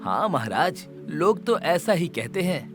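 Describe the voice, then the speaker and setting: Sly, teasing cartoon courtier, raised voice, music under it. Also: male voice, fairly high pitch, big pitch swings, measured pace. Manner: playful, teasing, persuasive. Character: witty clever male royal courtier. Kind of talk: animated storybook voice acting.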